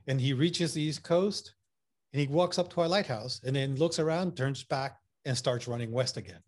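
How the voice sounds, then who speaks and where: A man's voice: a little high, darkish tone. A man with an accent that mixes Puerto Rican and Massachusetts sounds, talking as an interview guest. He is enthusiastic and loose, piling on fillers and restarting his sentences as he goes. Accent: mixed Puerto Rican and Massachusetts. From Puerto Rico. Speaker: photographer guest. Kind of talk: casual interview talk.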